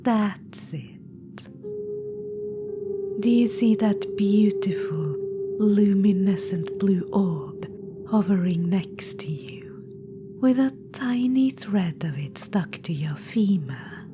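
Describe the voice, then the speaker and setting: A woman's voice: medium pitch, lively intonation, slow, over music. A woman voicing an imperious undead witch-queen spirit in an ASMR audio roleplay. She speaks in a whisper, teasing, condescending and commanding. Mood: coaxing whisper. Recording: clear background noise.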